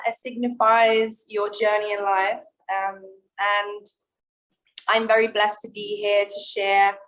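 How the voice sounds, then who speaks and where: A woman's voice: a little high, fairly bright tone, rough recording. A woman from Norway, a singer and entrepreneur, speaking earnestly in a video-call interview with frequent ums and restarts.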